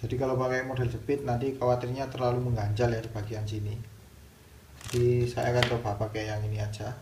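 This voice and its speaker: A man's voice: mid-range voice, level tone, a little fast. A man narrating a casual hands-on tutorial, explaining it step by step as the host. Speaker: video host demonstrating product installation. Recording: roomy, distant mic, clear background noise.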